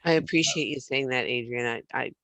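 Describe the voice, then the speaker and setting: Older man, gravelly voice, earnest and measured, dry close sound. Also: medium pitch, pushed and bright, no room sound. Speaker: workshop participant. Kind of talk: casual discussion.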